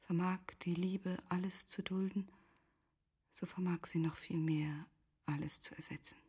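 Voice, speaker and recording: Breathy, grieving noblewoman. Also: on the low side, fairly even intonation. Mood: anguished self-questioning. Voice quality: breathy, whispery. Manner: hushed, solemn, deliberate. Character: grieving, resigned noblewoman, composed and self-reproaching. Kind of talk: literary German radio play acting.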